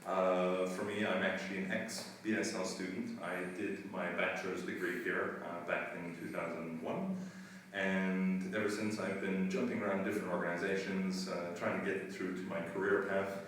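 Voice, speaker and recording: A middle-aged man from the United States, a school administrator answering interview questions in an earnest, measured way with frequent pauses. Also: sits low, flat delivery, a bit bright. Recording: roomy echo, distant mic, faint noise.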